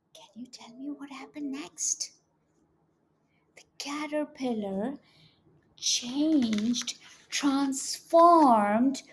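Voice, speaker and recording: Female kids' educator for read-alouds; high voice, unhurried delivery, teacherly, engages little listeners with questions. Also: slight background noise, poor audio. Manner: animated, teacherly, often prompting children with questions. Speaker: children's educator and storyteller.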